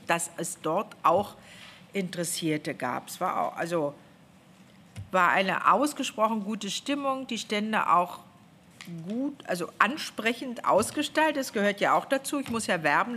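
A woman's voice: medium pitch, fairly bright tone, slight background noise. A woman in her fifties, a government official, reporting to a parliamentary committee in a meeting room, talking freely and animatedly, often restarting her phrases. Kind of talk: spontaneous spoken report. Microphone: gooseneck desk microphone.

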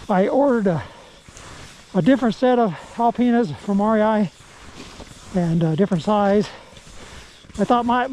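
Man, high-ish voice, mellow tone, outdoor ski vlog, casual rambling walk-and-talk. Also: slight background noise, low-quality recording. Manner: rambling and unhurried, with restarts. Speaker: vlogger.

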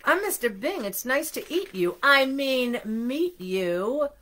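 A woman's voice: high-pitched, lively intonation, slow pace, fairly bright tone, exaggerated voice. A woman voicing an awkward, bumbling man in a storybook, stammering and hesitant. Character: bumbling, awkward man who eats donuts, flustered.

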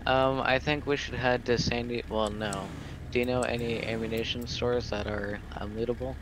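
A man's voice: mid-range voice, little pitch movement, normal speed. Man on noisy game voice chat. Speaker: player in an online roleplay game. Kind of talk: casual gaming chat.

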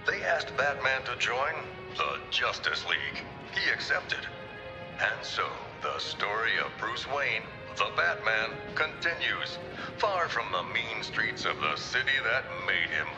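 An actor playing a grave journalist who narrates a museum tour in a solemn, portentous, dramatic style. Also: male voice, on the low side, very animated, a little slow. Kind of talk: dramatic scripted exhibit narration. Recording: reverberant exhibit speakers with music. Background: music.

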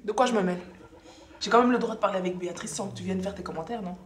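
A woman's voice: mid-range voice, rapid-fire, crowd noise, lightly acted. An actress playing a blunt, scolding housewife in her thirties who challenges others in naturalistic film dialogue. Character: blunt, scolding housewife impatient with intellectual talk. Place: dining room at a dinner table. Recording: roomy interior with music behind.

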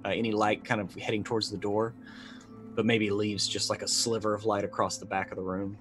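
A middle-aged man with a lowish voice. He chats casually as a roleplaying player, feeling his way through each action aloud. Music runs underneath. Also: flat delivery. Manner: halting, thinking aloud. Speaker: player in a tabletop roleplaying game. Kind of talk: casual chat, narrating his character's actions. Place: indoor room, video call. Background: background music.

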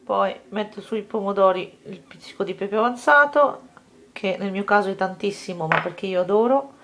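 Cooking vlogger, woman, friendly step-by-step recipe chat, average pitch. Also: moderate pace, a bit bright. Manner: chatty, step-by-step instructions to viewers. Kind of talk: casual cooking demonstration. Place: home kitchen table, indoors.

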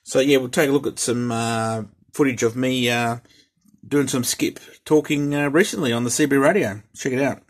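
Middle-aged Australian male hobby host, husky tone, hesitant and repetitive, casual delivery. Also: mid-range voice, moderate pace. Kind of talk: casual hobby vlog talk.

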